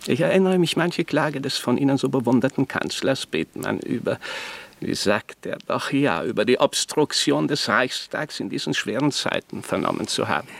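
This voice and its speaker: Haughty, creaky-voiced archbishop, very animated. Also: male voice, mid-range voice, moderate pace. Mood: irritated, sardonic. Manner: declamatory, formal, pointed. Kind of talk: German radio play acting.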